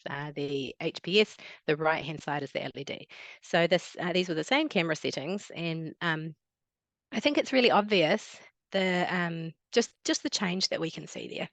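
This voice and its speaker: A woman with a New Zealand accent gives an online talk as a wildlife biologist, friendly and chatty with plenty of ums and restarts. Her voice sits a little low for a woman. The sound is dry and close, with no room echo. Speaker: guest speaker, wildlife biologist.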